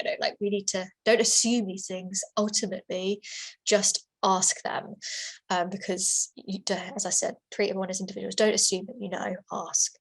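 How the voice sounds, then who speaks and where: A woman's voice: medium pitch, creaky, poor audio. A woman giving a webinar talk as a workplace assessor, informal and chatty, with lots of fillers and restarts, over a computer microphone. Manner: informal, repeats herself, many fillers and restarts.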